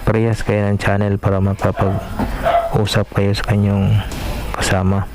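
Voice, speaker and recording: A man's voice: on the low side, completely flat delivery, a little slow, heavy background noise. A man from the Philippines hosting a casual unboxing vlog, hesitant and filler-heavy as he talks straight to his viewers. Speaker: vlog host. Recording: clear background music.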